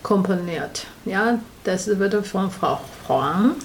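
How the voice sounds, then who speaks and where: A woman's voice: medium pitch, takes it slowly, slight background noise. A woman whose first language is Chinese, with a Taiwanese Mandarin accent, a radio host and former opera singer, chatting in German with frequent grammar slips and hesitant fillers. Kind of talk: casual interview chat.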